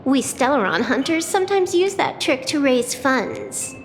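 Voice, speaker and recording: A woman voicing a cool, wry young hacker outlaw in a video game, cheeky and unbothered with deadpan wit. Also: high voice, a little slow, big-room sound, soft background music. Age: young adult.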